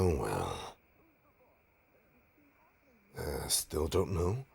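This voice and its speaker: A man playing a suave, smug supervillain in an audio roleplay, teasing his nemesis with a pompous, mock-polite drawl and dramatic pauses. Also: extremely low pitch, a little mellow, full character voice. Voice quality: gravelly, husky. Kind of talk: audio roleplay monologue addressed to listener.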